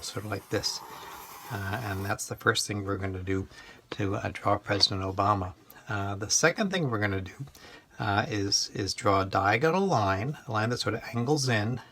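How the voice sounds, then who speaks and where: Halting man giving step-by-step drawing instruction. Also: on the low side, fairly bright tone. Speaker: illustrator giving a drawing lesson. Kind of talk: step-by-step instruction for young children.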